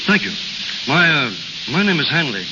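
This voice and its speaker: A man's voice: fairly high pitch, very animated, moderate pace. A man playing a polite, businesslike visitor with a formal, eager manner in 1930s radio style. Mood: brisk, polite. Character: brisk, polite businesslike male caller. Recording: hissy, muffled, reverberant old radio broadcast.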